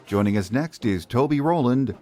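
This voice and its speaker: A man's voice: medium pitch, animated, normal speed, darkish tone, soft background music. A man hosting a sports podcast, delivering scripted narration. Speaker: podcast host.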